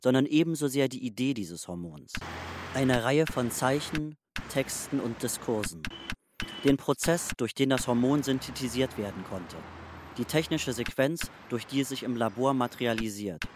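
An actor as a self-assured man, medium pitch. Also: lively intonation, normal speed, background noise, lightly acted. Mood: measured, resolute. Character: self-assured transgender man reflecting on testosterone. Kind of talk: literary radio play monologue.

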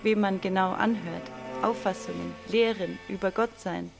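A woman reads in a declamatory, deliberate way, as in an art radio play. Her mid-pitched voice has a noticeable creak, and music runs clearly behind her. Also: fairly even intonation. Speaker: reader of an inventor's writings. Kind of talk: literary recitation in a radio play.

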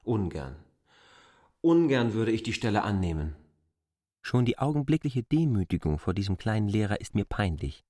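Low-voiced, reluctant, proud surveyor. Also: male voice, dark tone, no room sound, studio quality. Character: proud, reluctant land surveyor K., grudgingly yielding. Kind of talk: German literary radio drama acting.

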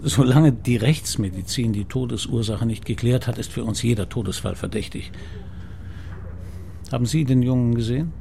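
An actor playing a persistent, politely ironic police commissioner in a naturalistic radio crime drama. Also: male voice, mid-range voice, moderate pace, creaky, dark tone, a little hiss or hum, natural voice. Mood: insistent. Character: male police commissioner, persistent, dryly sardonic.

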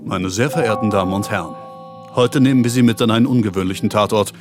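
An actor playing a solemn, theatrical narrator of a retro crime radio play, speaking formally and grandly. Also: male voice, on the low side, natural voice. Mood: solemn, mysterious. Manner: grandiose, formal, deliberate showman delivery. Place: radio drama studio. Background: music.

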